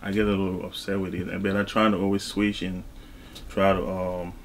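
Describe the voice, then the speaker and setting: A man from Cameroon, talking casually as an interview guest in a halting way, with frequent asides. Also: low voice, little pitch movement, slight background noise. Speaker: interview guest, music artist and label executive.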